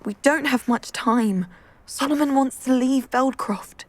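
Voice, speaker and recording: A woman's voice: high-pitched, a little slow. A young woman voicing a reserved, weary, dutiful teenage girl in a video game. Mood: reluctant. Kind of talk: video game cutscene acting.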